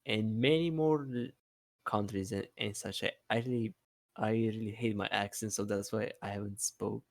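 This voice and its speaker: Halting, gravelly non-native man. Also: fairly low pitch, steady tone, no room sound, studio quality. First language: not English. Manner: halting, repeats words, many fillers. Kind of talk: casual hesitant chat.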